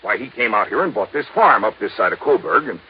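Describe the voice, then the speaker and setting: A very rough, husky voice through dull old radio sound: a folksy American insurance agent. He is talkative and familiar, his pitch moving freely as in 1950s radio drama. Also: lively intonation, fast talker. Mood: earnest explaining. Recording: muffled vintage radio recording.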